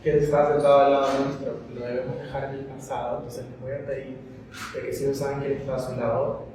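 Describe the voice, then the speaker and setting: A man's voice: medium pitch, level tone, fast, mellow. Echoey distant man addressing a gallery crowd. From migrant living in Madrid. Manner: rambling, many fillers, asks the audience to join in. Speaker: curator and moderator. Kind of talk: opening a panel talk. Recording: echoey hall, voice from far off, faint noise.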